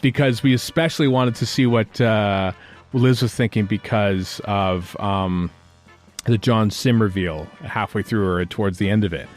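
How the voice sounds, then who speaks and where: Middle-aged man, podcast host, rambling casual chat, lowish pitch, faint background music. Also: unhurried.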